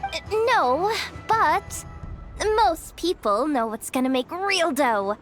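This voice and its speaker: A woman's voice: high-pitched, sing-song, slow pace, fairly bright tone, soft background music, heavily acted. A woman voicing a brash, spirited young woman who plays tough with cocky, slangy banter in a video game. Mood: teasing. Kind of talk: scripted game voice acting.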